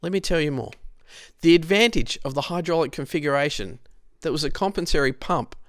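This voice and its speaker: Aussie guy reading out a history script, bit of fry in his voice, dry sound, explains stuff carefully. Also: a little high, animated, fairly quick, fairly bright tone, no echo. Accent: Australian. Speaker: narrator.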